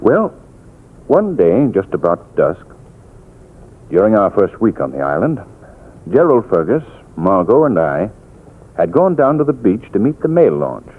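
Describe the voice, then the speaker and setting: A worldly gentleman storyteller in a vintage radio mystery, low and calm with expressive swings in pitch. The sound is narrow and tinny, like an old broadcast. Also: measured pace, long pauses. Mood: measured storytelling. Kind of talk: 1940s radio drama acting. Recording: tinny 1940s radio recording.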